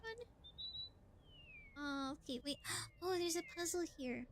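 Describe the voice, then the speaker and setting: A woman's voice: high voice, a little slow, a little hiss or hum. A woman livestreamer giving casual gameplay commentary, thinking aloud in a playful, exclamatory way. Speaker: livestreamer playing a game.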